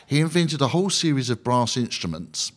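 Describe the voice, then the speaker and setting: A man's voice: mid-range voice, sing-song, brisk. Enthusiastic, joking male conductor. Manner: enthusiastic, jokey, digressive explainer. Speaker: band conductor, guest. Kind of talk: radio interview, explaining.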